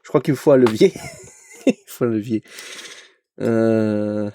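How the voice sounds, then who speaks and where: Slow, hesitant man with a cold. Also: lowish voice, mellow, slight background noise. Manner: hesitant, many "euh" fillers, chatty. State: has a cold. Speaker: YouTube collector opening an advent calendar. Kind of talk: casual unboxing vlog.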